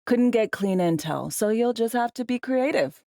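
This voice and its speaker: An actress playing a tough, bossy, wry spy handler in a cyberpunk video game, with clipped, slangy, teasing delivery. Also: female voice, a little high, expressive, moderate pace, dark tone, no echo, clean studio sound. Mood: brisk, wry briefing. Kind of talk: video game voice acting, briefings and radio guidance.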